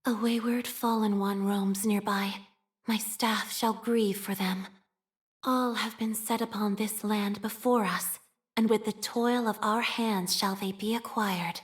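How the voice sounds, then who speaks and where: An actress voicing a soft-spoken, devout young woman scholar of a religious order in a video game, speaking formally and solemnly. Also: medium pitch, fairly even intonation, lightly acted. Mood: calm, reverent. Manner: formal, solemn, measured, reverent. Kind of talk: scripted video game character voice lines.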